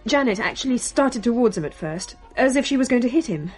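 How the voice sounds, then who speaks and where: A woman's voice: on the high side, animated, brisk, loud music behind the voice, natural voice. An actress playing an exasperated, shaken daughter who recounts her family's haunting vividly and emphatically in a radio drama. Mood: shaken, urgent.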